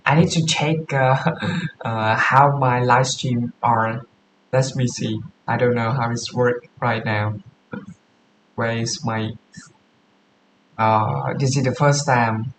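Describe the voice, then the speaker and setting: A man from Vietnam with a Vietnamese accent, live streaming as a coding beginner and reading course text aloud in halting English. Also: medium pitch, fairly even intonation, fairly bright tone, reverberant, a little hiss or hum, poor audio. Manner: halting, often stops to read and correct himself.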